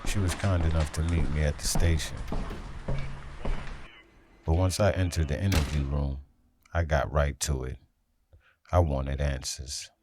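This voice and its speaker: A man playing a hard-boiled noir detective in an audio drama, narrating his case in a brooding, terse, deliberate way. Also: very deep voice, fairly even intonation, background noise. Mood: brooding, suspicious. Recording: loud music bed behind the voice.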